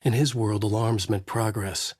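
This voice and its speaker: An actor playing a brooding, haunted male writer, narrating a noir horror thriller in a measured, grave, dramatic way with a husky voice. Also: lowish voice, steady tone, a little mellow, no room sound, clean studio sound. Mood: brooding narration.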